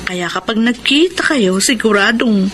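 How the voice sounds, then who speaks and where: An actress with a Filipino accent playing a cheeky, teasing coworker and confidante in a radio drama. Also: female voice, on the high side, expressive, unhurried, a bit bright. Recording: clearly noisy with ambience.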